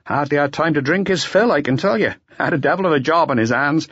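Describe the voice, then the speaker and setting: A man's voice: a little high, quick pace, fairly bright tone, breathy edge, dry recording, lo-fi sound, voice pushed up. A man voicing a chatty, conniving harbour barman in an audiobook, with garrulous, colloquial working-class talk. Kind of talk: audiobook character dialogue.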